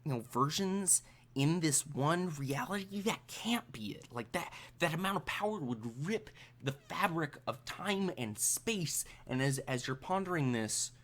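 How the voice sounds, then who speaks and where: A man improvising narration as a tabletop game master, halting and suspenseful, with trailing pauses. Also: a little high, lively intonation, a bit bright.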